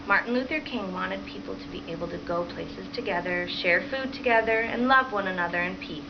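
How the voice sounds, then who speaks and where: A woman's voice: mid-range voice, brisk. A woman reads aloud to children as a teacher would, asking questions and encouragingly repeating their answers. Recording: dull, lo-fi home recording with clear background noise.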